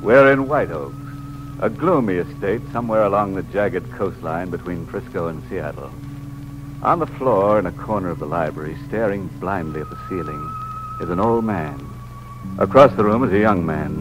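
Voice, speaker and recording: Ghoulish horror host, low voice, swooping theatrical delivery, morbidly gleeful, 1940s radio. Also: male voice. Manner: theatrical, drawn-out punch lines. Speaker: host of a mystery anthology. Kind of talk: 1940s radio horror hosting, tongue-in-cheek. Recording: muffled old radio broadcast with music.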